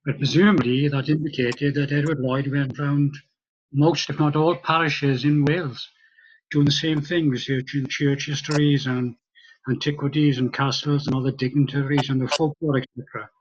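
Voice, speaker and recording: A man on a video call, recalling an old article in a measured, thinking-aloud way. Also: a little high, fairly even intonation, dull audio, a little hiss or hum. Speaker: lecture participant, former newsletter editor. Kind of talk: casual discussion.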